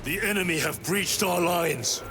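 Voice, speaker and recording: A man's voice: high voice, a little slow. An actor playing a proud, curt male space marine warrior in a video game. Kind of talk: video game cutscene acting. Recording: game audio under loud music.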